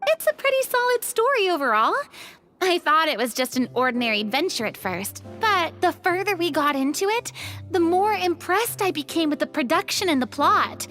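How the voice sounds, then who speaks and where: A woman voicing a girlish, earnest young lady in a video game, courteous and dramatically expressive. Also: extremely high pitch, moderate pace, faint music behind, exaggerated voice. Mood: impressed. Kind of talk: scripted video game dialogue.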